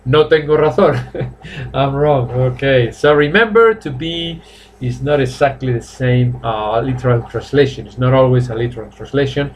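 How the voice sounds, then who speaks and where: A man's voice: mid-range voice, a little hiss or hum. Man in his fifties teaching English. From Spain. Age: 50s. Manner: repeats phrases slowly for drilling, switches between English and Spanish. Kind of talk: live online class, bilingual English and Spanish. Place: small room at home.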